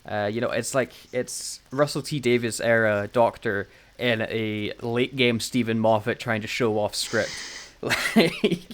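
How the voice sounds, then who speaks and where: A man with a mid-pitched voice talks casually, as if co-hosting a review podcast. His delivery rambles in a loose, conversational way, with an easy tendency to chuckle. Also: unhurried, fairly bright tone, slight background noise. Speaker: podcast co-host. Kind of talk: casual chat reviewing audio dramas.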